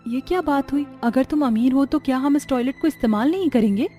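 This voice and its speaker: A woman's voice: high-pitched, brisk, dark tone, faint music behind, close to an everyday voice. A woman voicing a feisty, naive poor village daughter-in-law in a cartoon story, plain-spoken and protesting. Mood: defiant.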